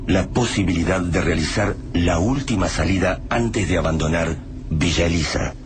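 A man's voice: deep voice, moderate pace, background noise. A man with an Argentine accent reading scripted narration for a radio drama. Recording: music bed behind narration.

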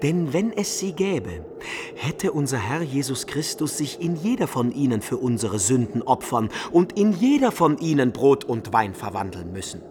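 An actor playing an eccentric, obsessive medieval wandering scholar in a German radio play, pedantic, theatrical and emphatic. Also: male voice, mid-range voice, big pitch swings, moderate pace, background noise, lightly acted. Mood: fervent lecturing. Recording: studio radio drama over music.

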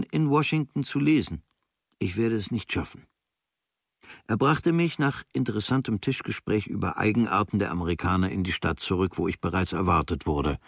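Male literary reader, fairly low register, measured and observational delivery, dry studio recording. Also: vocal fry, dry recording. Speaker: reader of a literary travel diary. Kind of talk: literary audiobook reading, scripted narration. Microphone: close studio microphone.

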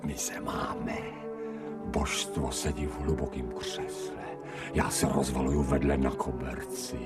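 A man's voice: a bass voice, fairly even intonation. A man playing a smug, gluttonous pet dog in a radio play, savouring his thoughts and murmuring with relish. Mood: blissful, fawning. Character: smug, gluttonous male stray dog turned pampered pet. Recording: distant-miked radio production with music.

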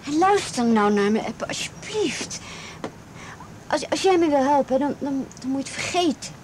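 A woman playing an anxious, guarded teenage girl who speaks haltingly in a radio play. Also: sits high, expressive, a little mellow, a little hiss or hum. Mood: pleading. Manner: halting, repeats words. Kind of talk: Dutch radio play acting.

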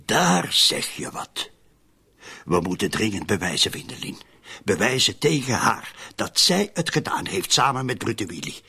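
An actor playing a scheming, urgent undertaker in a radio drama, with a raspy, gravelly voice. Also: male voice, on the low side, very animated, a little slow, bright, forceful tone, heavily acted. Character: scheming, urgent male undertaker plotting a frame-up.

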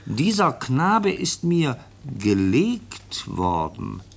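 A matter-of-fact official in a radio drama, explaining a case. His voice is husky and gravelly, with lively, varied intonation. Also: medium pitch, exaggerated voice. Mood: deliberate reading. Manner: matter-of-fact, explanatory, slow and deliberate when reading aloud. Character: brisk male police official reporting on a case. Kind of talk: radio play acting.

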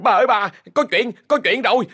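A man's voice: very high voice, brisk, sharp tone, slightly rough, voice pushed up, exaggerated voice. A man voicing a frightened young male servant who stammers breathlessly.

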